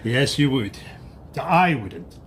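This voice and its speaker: Radio drama: senior police superintendent, managerial, very varied pitch, slight background noise. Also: male voice, big pitch swings, takes it slowly, fairly bright tone. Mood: affable, businesslike. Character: senior male police chief superintendent, the boss.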